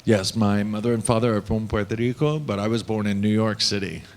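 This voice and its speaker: A man with a fairly low voice speaks slowly and reflectively. He pauses often and fills the gaps with "uh" as he voices for a panelist at a panel discussion. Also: darkish tone.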